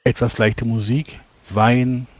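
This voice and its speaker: A man's voice: mid-range voice, flat delivery, takes it slowly, no echo. A man taking part in a tabletop roleplay, speaking in character. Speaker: tabletop role-player.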